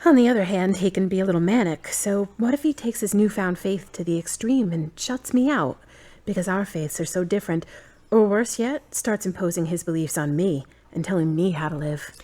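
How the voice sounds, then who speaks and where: A woman playing a wry, thoughtful grown daughter in an audio drama, measured and dry. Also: mid-range voice, warm tone, close to an everyday voice. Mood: guarded, unsure. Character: wry, guarded adult daughter, thoughtful and independent.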